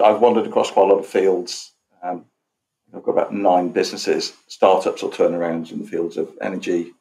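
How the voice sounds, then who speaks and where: A man's voice: low voice, mellow, low-quality recording. A man in his fifties on a panel conversation, talking hesitantly with many restarts. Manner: hesitant, lots of restarts and ums. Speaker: panel guest, business founder.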